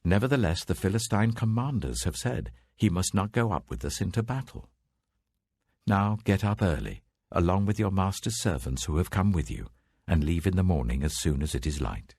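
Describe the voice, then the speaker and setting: Man acting a deep-voiced, earnest ancient king. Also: lively intonation, moderate pace, a little mellow, breathy edge, no room sound, clean studio sound, exaggerated voice. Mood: regretful, firm. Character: courteous male ancient king, earnest and conciliatory.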